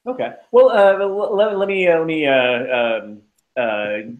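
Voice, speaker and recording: A man's voice: a little high, a little slow. A man co-hosting a casual chat show, asking questions in a jokey, lightly formal way. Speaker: podcast co-host.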